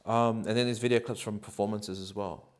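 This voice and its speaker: Give me a New man in his thirties, slightly low-pitched, chatting loosely with plenty of fillers. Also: a little fast. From New Zealand. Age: 30s. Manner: relaxed, rambling, many ums and likes. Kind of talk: casual interview answers.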